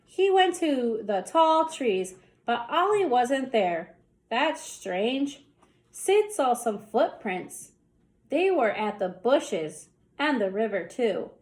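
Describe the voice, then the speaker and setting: A woman reading a children's story aloud, with deliberate, theatrical emphasis on the action words. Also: on the high side, very animated, darkish tone. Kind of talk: expressive bedtime story read-aloud.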